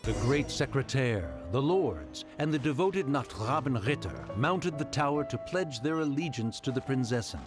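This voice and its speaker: A solemn storybook narrator, acted by a man, with a mid-pitched voice and lively, theatrical swells. The delivery is grandiose and reverent, like a fantasy game legend, over clear music. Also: moderate pace, few pauses, natural voice.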